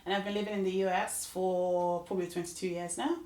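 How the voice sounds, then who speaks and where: A woman's voice: fairly low pitch, fairly even intonation, brisk, noisy background. A woman from Nigeria, a data scientist guest, telling her background in an earnest, flowing way. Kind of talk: interview answers. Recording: echoey room.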